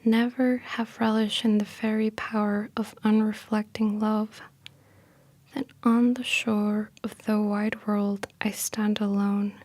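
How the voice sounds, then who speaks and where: A woman speaking softly in a breathy half-whisper, gentle and unhurried, in an intimate ASMR style close to the microphone. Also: mid-range voice, little pitch movement, dry recording. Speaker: ASMR creator and host. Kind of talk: soft-spoken ASMR, casual explaining and poetry reading.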